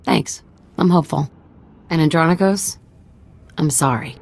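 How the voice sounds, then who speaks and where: A woman's voice: deep voice, measured pace, darkish tone. A woman playing a tough, frank frontier underworld figure in a video game, speaking in a casual, plain-spoken way. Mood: sincere.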